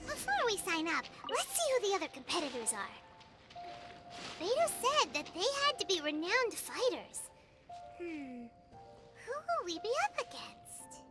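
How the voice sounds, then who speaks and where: A woman's voice: sing-song, a little slow, full character voice. A woman voicing a tiny, cheeky, childlike fairy companion in a video game. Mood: curious. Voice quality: squeaky, childlike. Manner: refers to self in third person. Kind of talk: video game character dialogue. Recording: music behind the voice. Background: game music.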